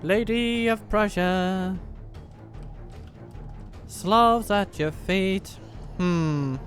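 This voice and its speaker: A man's voice: sits high, drawn-out words, a bit bright, faint music behind. A man whose first language is Danish, giving casual, wry commentary while playing a strategy game and laughing at his own jokes. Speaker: let's play host.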